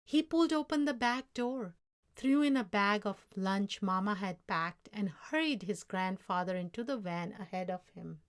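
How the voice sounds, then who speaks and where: A woman storyteller reading a children's story aloud in a measured, expressive way. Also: medium pitch. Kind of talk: scripted children's story narration, read aloud.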